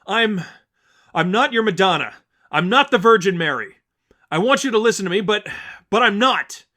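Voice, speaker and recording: A man's voice: sits high, expressive, moderate pace, bright, forceful tone, voice pushed up. A man voicing a defiant, earnest young woman in a dramatic story reading, speaking emphatically as if rallying a crowd. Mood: urgent pleading. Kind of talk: dramatic audiobook-style fan fiction reading.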